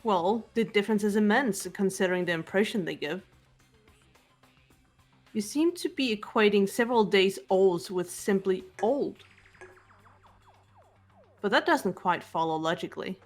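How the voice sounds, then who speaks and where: A woman voicing a cool, analytical teenage girl detective, calm and measured, lecturing with precise logic. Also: medium pitch, faint music behind. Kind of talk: amateur voiced game playthrough.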